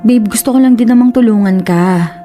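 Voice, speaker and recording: An actress with a Filipino accent playing a frustrated young housewife who longs for independence, in a Tagalog radio drama. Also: female voice, fairly high pitch, brisk, warm tone, natural voice. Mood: earnest, wistful. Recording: music under the voice.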